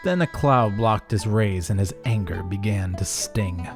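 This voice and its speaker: A man narrating a rhyming folk tale as a storyteller, theatrical and expressive. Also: on the low side, unhurried, over music.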